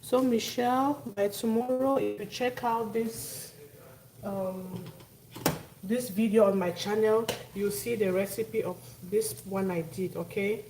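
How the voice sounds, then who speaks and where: A woman's voice: mid-range voice, steady tone, a little slow, recorded from a distance. Cameroonian woman on a noisy live stream. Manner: repeats phrases, thanks and greets viewers by name. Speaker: cooking live-stream host. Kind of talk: casual live-stream chat with viewers.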